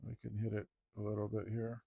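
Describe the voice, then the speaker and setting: A man talking casually to himself as he works on a digital drawing, mumbling in a relaxed way. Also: on the low side, a little fast, vocal fry, dry recording, phone-quality sound. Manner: mumbling to himself, laid-back. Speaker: digital artist. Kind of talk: casual talk while drawing.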